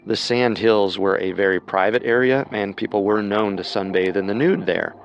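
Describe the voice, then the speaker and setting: Low-voiced male true-crime narrator. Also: dull audio, faint music behind. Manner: measured storytelling with rhetorical questions. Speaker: co-host narrator.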